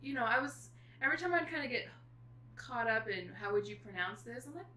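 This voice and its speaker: A middle-aged woman guest author chatting casually, telling stories in an animated way with thoughtful pauses. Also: fairly high pitch. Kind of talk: casual chat. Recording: echoey room with faint noise.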